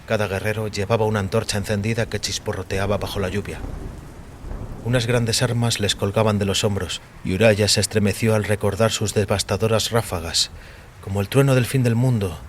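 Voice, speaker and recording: Male narrator, slightly deep voice, solemn dramatic audio fiction, literary delivery, noisy rain background. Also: steady tone, a little fast. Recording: clearly noisy, rain behind voice.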